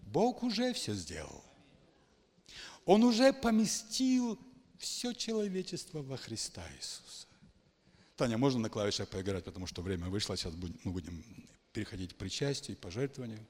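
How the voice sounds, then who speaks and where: A man's voice: on the low side, big pitch swings, normal speed, breathy edge. Preaching man echoing through a hall. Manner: emphatic, dramatic swings from quiet to shouting. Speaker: pastor. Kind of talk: charismatic sermon. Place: church hall. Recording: long hall reverb.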